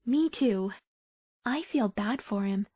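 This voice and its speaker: A woman voicing a gentle, reassuring young female pony in a cartoon comic dub. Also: medium pitch, lively intonation, slow, husky. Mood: curious, sympathetic.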